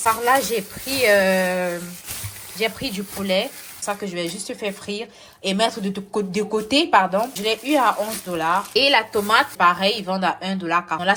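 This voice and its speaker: A woman vlogger, hoarse from a cold and tired after work, chatting casually to camera about cooking and groceries, with many fillers. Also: mid-range voice, pushed and bright, slight background noise. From now living in Canada. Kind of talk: casual vlog chat. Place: home kitchen.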